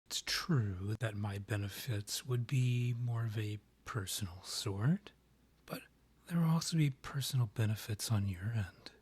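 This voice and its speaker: A man acting a breathy, lovestruck vampire king. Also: lowish voice, a little mellow. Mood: courtly, persuasive. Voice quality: breathy, half-whispered. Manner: formal, courtly phrasing, slow pleading delivery. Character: lovestruck male vampire king, courtly, yearning, tender suitor. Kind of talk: romantic ASMR audio roleplay.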